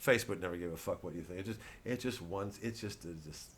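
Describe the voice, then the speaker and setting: A man with a fairly low voice speaks as a comedian in a rambling rant. He is blunt and indignant, and he swears freely as he goes. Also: fast, slight background noise. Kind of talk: rambling, profane stand-up rant.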